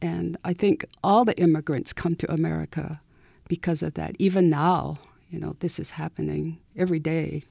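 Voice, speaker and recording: A woman in her eighties from southern California, telling her story slowly and reflectively as a guest on a radio interview. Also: low-pitched, fairly even intonation, creaky, warm tone, husky, dry recording, studio quality. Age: 80s. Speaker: author and guest.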